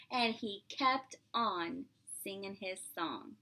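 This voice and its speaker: A woman preschool teacher reading aloud to young children, expressive and playful, explaining simply and asking questions as she goes. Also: medium pitch. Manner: expressive, drawn-out, playful exclamations.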